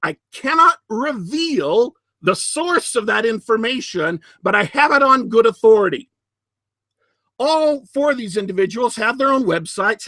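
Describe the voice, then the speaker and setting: A man hosting a podcast, giving solo commentary in a deliberate, emphatic way with sardonic asides. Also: high voice, fairly bright tone, no echo. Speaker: podcast host.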